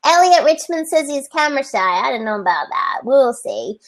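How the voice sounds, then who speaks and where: Upbeat woman hosting a video call, voice pitched really high, chatty and encouraging. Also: sing-song, moderate pace. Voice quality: high, light voice. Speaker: host. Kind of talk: casual live video chat. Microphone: computer mic on video call.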